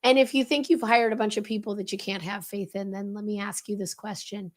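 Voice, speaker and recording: A woman with a hoarse, crackly voice, briskly explaining a leadership framework as a podcast guest. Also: medium pitch. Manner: brisk, animated, coaching tone. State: hoarse, voice lost.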